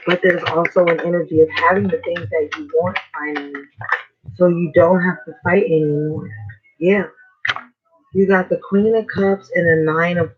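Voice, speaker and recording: A man with a hoarse, raspy voice giving a casual, slangy tarot reading as host. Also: fairly high pitch, noisy background. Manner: slangy, chatty, swears casually. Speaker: tarot reader. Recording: dull lo-fi recording.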